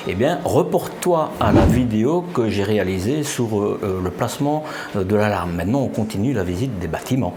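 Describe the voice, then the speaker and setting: A man's voice: lowish voice, animated, fairly quick, a little mellow, roomy sound, slight background noise. A man, a vlogger asking curious, joking questions while touring a new office building. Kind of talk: casual on-camera interview. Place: new office building, indoors, walking tour.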